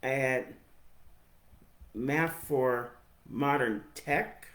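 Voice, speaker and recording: An American man in his forties with a speech impediment, giving a personal reflective narration in halting, deliberate phrases. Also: medium pitch, a bit bright. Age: 40s. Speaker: higher education professional telling own story. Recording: roomy, echoey sound.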